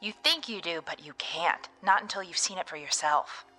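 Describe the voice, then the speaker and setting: An actress playing an excitable, looks-obsessed teenage girl with breathless, gushy chatter in a radio drama. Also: fairly low pitch, normal speed, faint music behind, poor audio. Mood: gushing, gossipy.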